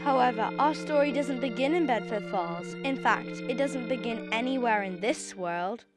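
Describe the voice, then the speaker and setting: High-voiced young woman narrator over music. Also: expressive, moderate pace. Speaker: radio drama narrator and announcer. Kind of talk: scripted storytelling narration. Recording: music behind the voice.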